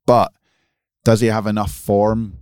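A man's voice: on the low side, flat delivery, measured pace, darkish tone, clear, resonant tone, no echo, clean studio sound. A man co-hosting a podcast, giving emphatic, opinionated golf picks in casual chat. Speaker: podcast co-host.

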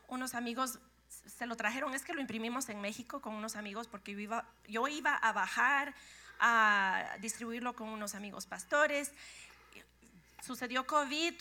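A middle-aged woman from Guatemala with a Guatemalan accent, giving a lively, joking talk to a church congregation. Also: on the high side. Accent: Guatemalan Spanish. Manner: lively and joking, laughs often. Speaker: guest speaker, pastor's wife.

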